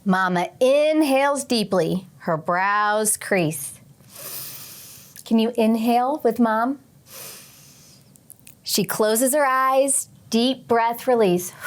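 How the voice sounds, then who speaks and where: A woman teacher reading a picture book aloud to young children expressively, pausing for short teaching asides. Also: on the high side. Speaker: teacher and read-aloud host.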